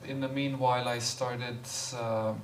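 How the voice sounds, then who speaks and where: A man in his fifties, a visiting monk, speaking in a relaxed, unhurried way with light laughs as he introduces himself in a temple hall. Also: mid-range voice. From Croatia. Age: 50s. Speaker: visiting monk giving a talk. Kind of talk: informal talk, introducing himself. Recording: echoey room, voice far from mic, background noise.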